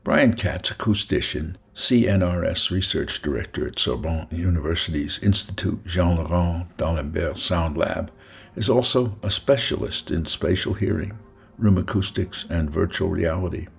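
A man's voice: deep voice, normal speed, vocal fry, slightly rough. A man hosting an interview podcast, speaking as presenter and interviewer. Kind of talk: scripted introduction, then unhurried interview talk.

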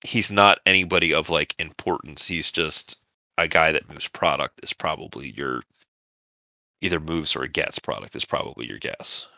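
A man narrating a tabletop roleplaying session as game master, in a halting, informal storytelling manner. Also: rumbling low, vocal fry, sharp tone, dry recording, lo-fi sound.

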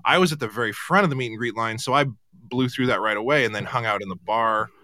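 A man hosting a talk show, chatting casually and telling anecdotes in an animated way. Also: mid-range voice, fast talker, no echo.

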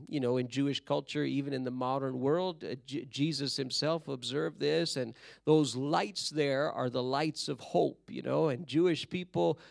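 A slightly hoarse man giving a sermon-style Bible history lesson, telling it in a measured, explanatory way and stretching key words. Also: mid-range voice, dry recording, studio quality.

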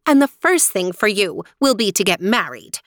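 A woman's voice: mid-range voice, very animated, moderate pace, fairly bright tone, no echo, studio quality. A woman voicing a fussy fairy aunt in a storybook, breezy and reassuring in an evasive, sing-song way. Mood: proud, cheerful. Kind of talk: storybook character voice.

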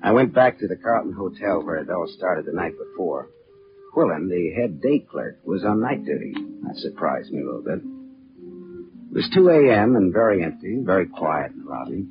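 An American actor playing a wry, tough private detective in a 1940s radio drama, terse and deadpan. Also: male voice, low-pitched, normal speed. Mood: grim, wry narrating. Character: wry, tough male private detective narrating his case. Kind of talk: 1940s radio drama acting, hardboiled narration. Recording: dull old 1940s radio, music behind.

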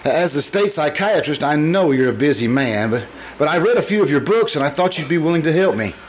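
A man acting a troubled, anxious businessman in an old-time radio drama, speaking in a hesitant, halting way. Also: on the high side, very animated, a little hiss or hum. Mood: anxious, earnest. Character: troubled, anxious businessman with frightening memory lapses.

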